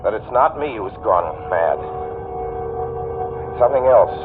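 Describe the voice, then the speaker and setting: A man's voice: on the low side, expressive, unhurried, music in the background. A man playing a haunted, desperate motorist in his thirties in an intense 1940s radio drama. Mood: haunted, urgent. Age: 30s. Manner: urgent, intense first-person confession. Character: haunted, desperate 36-year-old male motorist telling his story. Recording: tinny vintage radio recording, faint noise.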